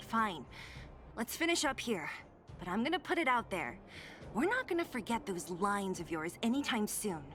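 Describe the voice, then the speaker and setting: A woman's voice: mid-range voice, sing-song, unhurried, long pauses, fairly bright tone. An actress playing a sardonic young woman companion in a video game, with a mocking, teasing delivery.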